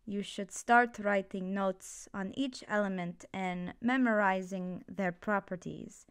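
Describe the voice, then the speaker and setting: Stern female magic professor for ASMR fantasy roleplay acted by a woman; measured and commanding. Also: mid-range voice, a bit bright, clean tone.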